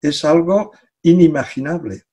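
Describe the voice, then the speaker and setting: A man's voice: on the high side, unhurried, dark tone, slightly rough. An older man from Catalonia speaking Spanish with a Catalan accent on a video call from his home study, talking casually and hesitantly, often repeating words and laughing between phrases. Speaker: guest, psychology professor. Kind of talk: casual conversational interview. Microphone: video call webcam.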